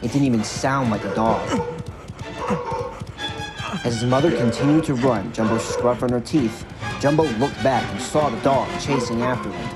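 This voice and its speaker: A man's voice: medium pitch, normal speed, long pauses. A man narrating a scripted story for an audio drama. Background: music.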